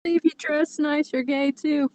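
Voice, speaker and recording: A woman's voice: high-pitched, fairly even intonation, a little slow, darkish tone, a little hiss or hum. A woman co-hosting a comedy podcast in casual, teasing, giggly banter. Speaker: podcast co-host.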